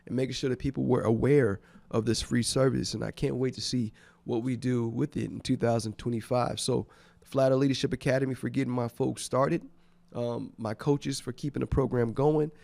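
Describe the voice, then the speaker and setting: A congested man speaks with a gravelly, mellow voice close to a studio microphone, and the sound is dry with no room echo. He hosts a radio show in an eager, thankful, rambling way. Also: mid-range voice. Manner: enthusiastic, grateful, rambling thank-yous. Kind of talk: casual radio shout-outs.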